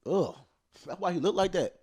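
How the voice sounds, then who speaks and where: A man, hosting a casual podcast chat with loud, joking, profane banter. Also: lively intonation, normal speed, slightly rough. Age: 30s. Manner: loud, joking, swearing banter with sudden jumps in pitch. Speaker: podcast host.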